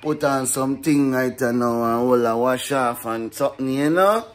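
A man's voice: mid-range voice, moderate pace. A young man with a Caribbean accent chatting casually and slangily to his vlog, repeating catchphrases. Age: young adult. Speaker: vlogger. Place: hotel room and outdoor walkway. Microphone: phone at arm's length. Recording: handheld phone with faint background noise.

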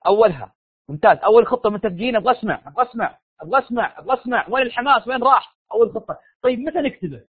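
Male Saudi online lecturer, high-pitched and quick, tired strained voice, informal, repetitive, prodding. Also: lively intonation, breathy edge. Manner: informal, repeats phrases, prods listeners for answers. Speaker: university lecturer. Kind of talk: live online lecture, questioning students. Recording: dull lo-fi online audio, roomy echo.

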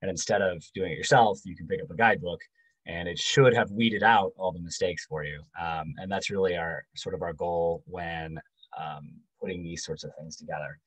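Man, 40s, low voice, author talk over video call, halting and casual. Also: steady tone, a bit bright, breathy edge, low-quality recording. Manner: halting, many ums and restarts. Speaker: guidebook author giving a book talk. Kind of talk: casual presentation. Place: home room.

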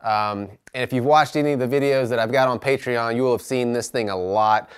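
A man in his thirties talks through his bow-building tools in an unhurried, hands-on way, pausing often on "uh." His mid-range voice comes across smooth and clear. The recording is dry, with almost no room sound around him. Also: mid-range voice, no echo. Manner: relaxed and practical, many "uh"s, trails off quietly at ends of thoughts. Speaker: bowyer giving a shop tour. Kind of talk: casual walkthrough explaining his tools. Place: large workshop with plywood walls, indoors.

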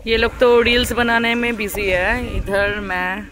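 A woman's voice: a little high, fairly even intonation, measured pace. A young woman giving a chatty tour in a casual travel vlog, often exclaiming as she goes, filmed on a handheld phone. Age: young adult. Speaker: travel vlogger. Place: hotel room, then outdoor rooftop pool. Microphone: handheld phone at arm's length. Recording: noisy phone audio with ambience.

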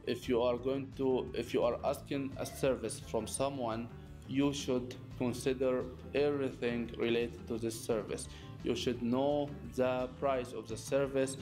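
A man whose first language is Arabic explains business tips to camera from a small office, earnest and repetitive, with small grammar slips. His voice sits at an ordinary middle pitch. Guitar music plays clearly underneath. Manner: earnest, repeats phrases, non-native grammar slips. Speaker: business channel host. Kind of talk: presenting a masterclass to camera. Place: small office with lamps and chairs. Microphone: seated facing camera, medium shot.

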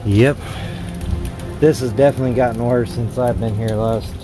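A man exploring an abandoned barn, giving casual, relaxed commentary on an action camera. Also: medium pitch, normal speed, music in the background. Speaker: explorer vlogger.

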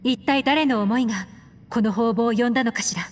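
An actress playing a composed, worldly and enigmatic woman investigator in a video game, with poised, measured speech. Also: a little high, close to an everyday voice. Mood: resolute. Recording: long hall reverb, music behind. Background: music.

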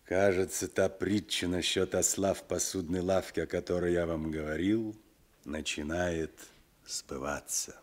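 Low-voiced, wry police sergeant. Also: male voice, fairly even intonation, a little slow, a little hiss or hum. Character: wry male police sergeant, loyal subordinate. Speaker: radio drama actor. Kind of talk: 1960s Soviet radio play acting.

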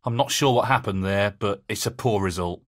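A man's voice: fairly low pitch, fairly quick, fairly bright tone, dry recording, clean studio sound. A man voicing a keen, observant snooker commentator in a sports video game. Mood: measured, analytical.

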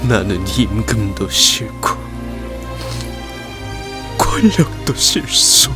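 A man voicing a bitter young Joseon crown prince pleading with his father in a historical audio drama, slow and resentful. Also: on the low side, big pitch swings, heavily acted. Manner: slow, resentful delivery, breaking into pleading cries. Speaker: voice actor. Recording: with music underneath.

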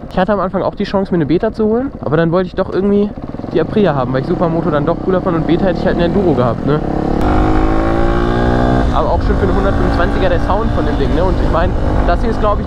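A young man vlogging casually while riding a motorcycle, excited and slangy, talking straight to his viewers. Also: sits high. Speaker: motovlogger. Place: outdoors on a motorcycle, city streets and open road. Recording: wind and engine noise.

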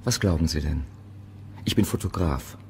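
An actor playing a prickly, suspicious photographer, curt and evasive, in a German radio crime drama. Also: male voice, rumbling low, lively intonation, normal speed, vocal fry, darkish tone, heavily acted. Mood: dismissive. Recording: reverberant large room, background noise.

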